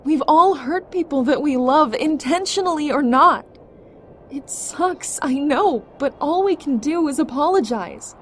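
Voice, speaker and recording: A woman's voice: high voice, lively intonation, measured pace, a bit bright, slight background noise. A woman playing a fierce, stubborn yet tender young survivor in an emotional audio drama, speaking with earnest, emphatic pleading. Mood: earnest reassurance. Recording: dramatic music behind the voice.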